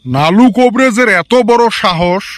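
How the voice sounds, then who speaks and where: A man's voice: high voice, lively intonation, a little slow, a bit bright, slight background noise. A man voicing a stingy, grumpy village father in a comedy cartoon, blunt and grumbling with a northern Bengali Rangpuri accent. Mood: irritated. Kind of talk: comic cartoon dialogue.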